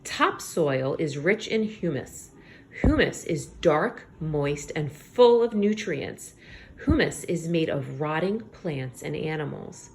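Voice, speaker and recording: A woman teacher reading aloud to young children, expressive, pausing to explain things simply and check in with her listeners. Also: low voice, a little hiss or hum. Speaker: elementary school teacher.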